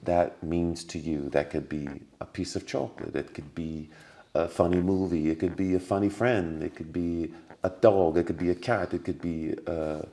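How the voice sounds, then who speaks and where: A man's voice: low voice, steady tone, moderate pace, dark tone. A man speaking as a podcast guest, giving earnest spiritual advice and repeating key words for emphasis.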